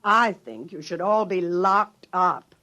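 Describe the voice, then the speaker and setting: Exasperated wife, sardonic, scolding, sharp bright voice, lively intonation, vintage radio drama. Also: female voice, fairly low pitch, slow pace, muffled recording, heavily acted. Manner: dry, scolding. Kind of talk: 1950s radio drama acting. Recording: restored 1950s radio broadcast.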